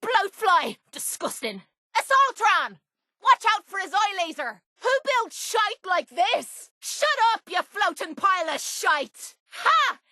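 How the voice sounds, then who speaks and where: A woman's voice: very high voice, sing-song, slow pace, bright, forceful tone, no room sound, studio quality, full character voice. A woman playing a brash, foul-mouthed young female cage fighter in a video game, with an Irish accent and a blunt, cocky way of talking. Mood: taunting, combative. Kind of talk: video game companion voice lines.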